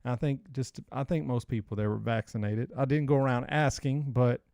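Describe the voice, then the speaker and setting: Guy in his 40s chatting on a podcast, rambly and informal, medium pitch, no room echo. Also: steady tone, brisk, gravelly, a little mellow, studio quality. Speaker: podcast co-host. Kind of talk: casual banter and storytelling.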